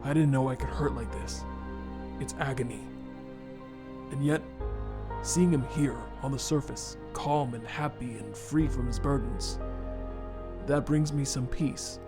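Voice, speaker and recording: A man playing a remorseful, haunted skeleton scientist and father, speaking formally and haltingly with earnest care in a fan comic dub. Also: medium pitch, music in the background, close to an everyday voice. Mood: anguished, devoted.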